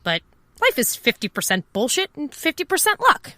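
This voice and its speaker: An actress playing a wry, impulsive private eye, talking in a sardonic, confiding way in an audio drama. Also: female voice, high-pitched, huge pitch swings, moderate pace, sharp tone, full character voice. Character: wry, impulsive female private eye, over twenty-seven. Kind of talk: first-person noir audio drama narration and dialogue.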